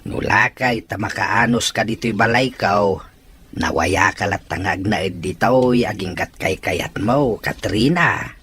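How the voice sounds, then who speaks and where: A man's voice: lowish voice, a little slow, sharp tone, slight background noise. A man acting a kindly, lonely elderly grandparent in an Ilocano audio drama. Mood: gentle, welcoming.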